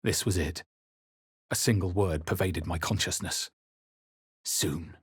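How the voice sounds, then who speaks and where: Low, husky adventurer hero. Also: male voice, steady tone, a little slow, heavily acted. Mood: grave. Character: male adventurer hero, weary, grave and observant. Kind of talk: scripted video game narration and dialogue.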